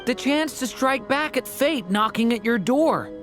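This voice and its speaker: An actor voicing a gentle, sincere young dragon boy hero in a video game. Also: mid-range voice, expressive, measured pace, close to an everyday voice. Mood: sincere, grateful. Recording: music behind the voice.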